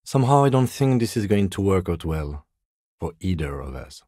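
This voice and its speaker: An actor playing a calm, methodical middle-aged police lieutenant in a video game, measured, understated and dryly wry, with a husky voice. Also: male voice, deep voice, dry recording, clean studio sound. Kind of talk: video game character dialogue.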